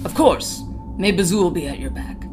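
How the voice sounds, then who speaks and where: A woman's voice: lowish voice, lively intonation, normal speed, over music, natural voice. Woman playing a steadfast female orc warrior. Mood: stoic. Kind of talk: fantasy audio drama acting.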